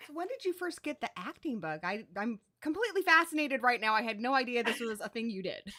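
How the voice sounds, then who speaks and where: A woman hosting a casual live-stream chat from home, chatty and quick to laugh, swearing casually. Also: a little high, very animated, sharp tone. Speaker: show host.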